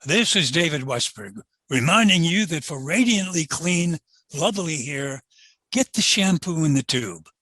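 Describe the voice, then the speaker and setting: Bright, high-pitched male radio announcer. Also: expressive, unhurried, no room sound. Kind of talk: 1940s network radio sign-off, scripted.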